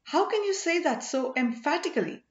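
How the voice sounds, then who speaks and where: A woman's voice: high voice, animated, normal speed, pitched above the performer's own voice. A woman voicing an ardent, grateful young king in exile with earnest, formal speech, as in a storybook. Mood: eager, insistent. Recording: roomy, slightly dull home recording.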